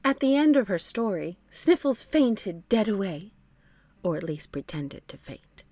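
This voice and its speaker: A woman narrating a children's storybook in an expressive, deliberate storytelling manner. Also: medium pitch, no room sound.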